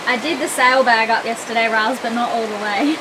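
A woman's voice: fairly high pitch, a little fast, noisy background. A woman, casually chatting and narrating a vlog. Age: 20s. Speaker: vlogger, host. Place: on a sailboat and at the beach. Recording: music behind the voice.

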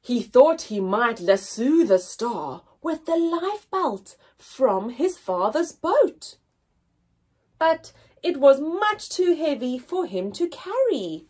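Young female storyteller for a kids' read-aloud, high animated voice with a touch of husk, dramatic emphasis and pauses. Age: young adult. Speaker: children's story reader. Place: indoors, small room with painted backdrop.

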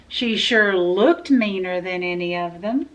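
A woman's voice: fairly low pitch, a little slow. A middle-aged woman reading a children's picture book aloud expressively, as a library storyteller. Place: indoor room with full bookshelves.

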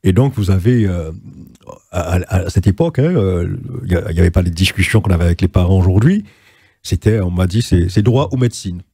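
An older man from Guadeloupe speaks as a guest in a TV studio interview, his voice low, dark and a little husky. He talks in a measured, reflective way and repeats phrases for emphasis. The recording is dry and close, taken on a lapel mic. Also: animated, no echo. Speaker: guest, journalist and writer.

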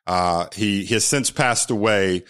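Earnest low-voiced American man. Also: a little slow, fairly bright tone, smooth. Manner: earnest, repeats words when starting sentences. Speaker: podcast guest. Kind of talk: candid personal storytelling.

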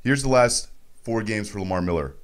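A man in his thirties with a lowish voice hosts a sports show. He banters casually and teases his co-host, speaking into a studio microphone. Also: animated, moderate pace. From Montreal, Canada. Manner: joking, teasing. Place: radio studio. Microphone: close studio mic on boom arm.

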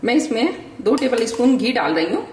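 A middle-aged woman calmly explaining a cooking demonstration step by step in her home kitchen. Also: a little high, normal speed, a little hiss or hum. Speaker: home cook, channel founder. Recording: echoey kitchen from some distance. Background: sizzling and stirring in a pan.

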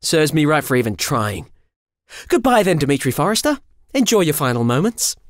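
A man acting a flippant, animated young angel. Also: a little high, normal speed, dry recording, studio quality. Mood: disgusted, indignant. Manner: glib, sardonic, blunt. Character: flippant, cold, youthful imperfect male angel. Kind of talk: audio drama acting.

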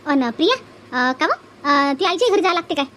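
High, fast-chattering bossy village aunt played by a woman. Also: animated, a bit bright. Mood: chatty. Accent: Vidarbha Marathi. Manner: chattering, nagging, repetitive. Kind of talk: exaggerated comic cartoon dialogue.

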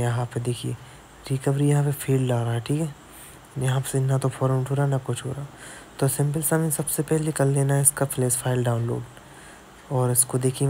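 A man's voice: mid-range voice, warm tone, a little hiss or hum. A man who repairs mobile phones, narrating a screen-recorded tutorial step by step and often checking in with the viewer. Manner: explains step by step, keeps adding "theek hai". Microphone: voice-over on a screen recording.

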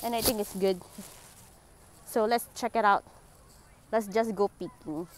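Slow-talking young woman vlogger. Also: mid-range voice, steady tone, poor audio. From Singapore. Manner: slow, chatty, simple phrasing. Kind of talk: casual vlog narration. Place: outdoors at night in a city. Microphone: wired earphone mic, phone at arm's length.

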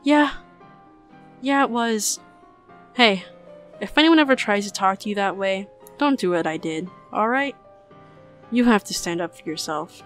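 Woman as a shy young cartoon woman, gentle, hesitant, mid pitch, music behind. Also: animated, a little slow, pauses often, lightly acted. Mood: apologetic. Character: meek, apologetic, kindly young woman convention worker. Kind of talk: animated web comic dub acting.

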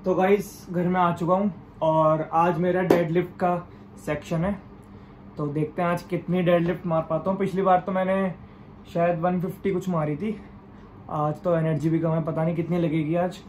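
A young man with a slightly high voice, chatting through his daily vlog while holding the phone camera at arm's length. Faint noise sits behind his voice. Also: level tone, normal speed. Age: young adult. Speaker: vlogger. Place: small room at home and outdoors.

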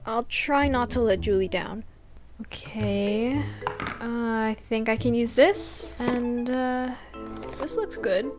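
A woman's voice: fairly high pitch, slow, music in the background. Woman doing a shy, unsure young boy. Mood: hesitant. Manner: unsure, mumbling, full of hesitations. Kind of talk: doll stop-motion voice acting.

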